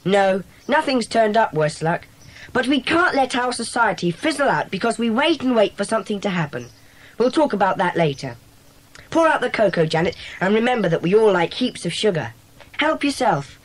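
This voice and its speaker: A woman's voice: mid-range voice, sing-song, low-quality recording. A woman playing a bossy, eager schoolboy who leads a children's club in a dramatised audiobook. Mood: brisk, bossy. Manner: brisk, commanding, organising.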